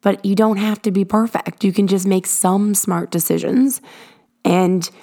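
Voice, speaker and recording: A woman hosting a motivational podcast, her voice hoarse and raspy from illness, talking earnestly and encouragingly from her garage. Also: medium pitch, steady tone, warm tone. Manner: earnest, encouraging, drawn-out emphasis. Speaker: podcast host.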